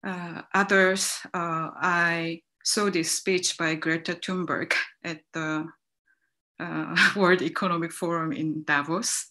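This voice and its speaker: A woman in her thirties speaks English with a Korean accent, her first language being Korean. Her voice sits a little low and she talks slowly, pausing and filling gaps as she searches for words. She is heard through a laptop mic on a video call from a book-lined home room. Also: bright, forceful tone. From South Korea. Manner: thoughtful, hesitant, many fillers. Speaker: moderator. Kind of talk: opening remarks on a panel. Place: home study with bookshelves.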